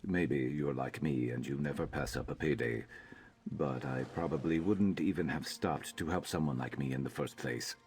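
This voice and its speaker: Very deep, guarded lizardfolk mercenary. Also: male voice, normal speed, darkish tone, breathy edge, heavily acted. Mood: wry, wary. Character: gruff male lizardfolk mercenary companion, guarded and world-weary. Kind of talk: scripted video game dialogue.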